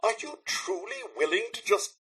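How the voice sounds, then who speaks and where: Fussy British robot butler, high-pitched and raspy. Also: male voice, wildly swooping pitch, moderate pace, bright, forceful tone, heavily acted. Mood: disapproving. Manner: posh, fussy, theatrical, deferential. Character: prim, fretful, loyal robot butler. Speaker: robot servant companion. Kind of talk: video game character dialogue.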